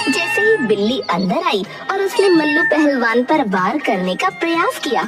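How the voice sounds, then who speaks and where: Casting: female cartoon-story narrator, highish animated voice, slightly distant with music underneath.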